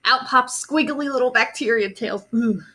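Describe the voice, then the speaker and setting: A woman's voice: fairly high pitch, moderate pace, bright, forceful tone. A woman reading a storybook aloud to young children expressively, adding simple, casual explanations.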